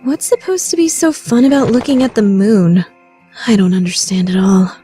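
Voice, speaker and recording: A woman's voice: mid-range voice, expressive, normal speed, warm tone, faint music behind, close to an everyday voice. A woman playing a proud, scheming young lady student in an anime-style radio play, theatrical and easily flustered. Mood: haughty, scheming. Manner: theatrical, shifting between haughty plotting and flustered outbursts.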